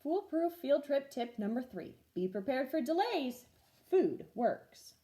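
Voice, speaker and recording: A woman reading a children's storybook aloud as a teacher would, expressive and clearly paced for young listeners. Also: sits high, measured pace. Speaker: first grade teacher reading stories aloud.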